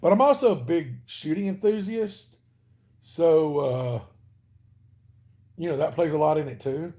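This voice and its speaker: A man's voice: a little high, expressive, measured pace, warm tone. A man hosting a casual live stream, chatting and answering viewers by name in an easygoing way. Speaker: channel host reading live chat.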